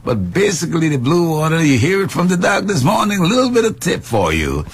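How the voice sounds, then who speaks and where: Animated man hosting radio. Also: a little high, brisk, slightly rough. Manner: animated, playful, teaching tone. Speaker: radio show host and doctor. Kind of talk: casual radio talk show chat.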